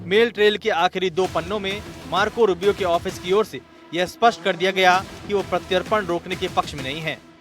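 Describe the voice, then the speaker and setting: A man's voice: high voice, a little fast, over music. A man reading a scripted television news report as a voice-over.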